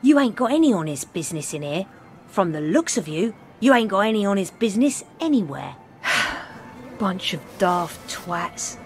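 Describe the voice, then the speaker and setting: A woman's voice: mid-range voice, expressive, normal speed, soft background music, lightly acted. An actress playing a surly, scornful working-class English woman cook in a video game, brusque and foul-mouthed. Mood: hostile, scornful. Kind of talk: video game character acting.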